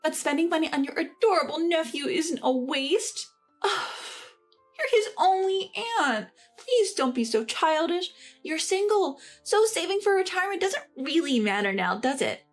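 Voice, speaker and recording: A woman's voice: high-pitched, expressive, moderate pace, soft background music, pitched above the performer's own voice. A woman putting on a snide, condescending sister-in-law. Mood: needling. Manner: condescending, needling.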